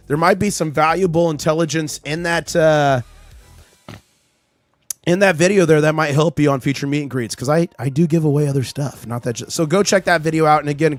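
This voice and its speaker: Male livestream host, chatty and excitable, voice just back after being lost, faint background music. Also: fairly high pitch, dry recording. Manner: chatty, reads chat aloud, excitable. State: recovering from lost voice. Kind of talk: casual live market commentary.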